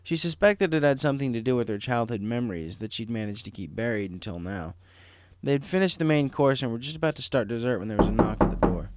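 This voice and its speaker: A man's voice: mid-range voice, expressive, fast talker, no room sound. A man reading a story aloud as scripted audiobook narration. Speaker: fan fiction audiobook reader.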